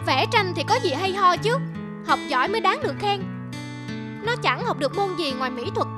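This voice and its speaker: Woman doing braggy little school kid in a cartoon, high voice, competitive, music behind. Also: animated, fairly quick. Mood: resentful. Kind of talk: cartoon dialogue.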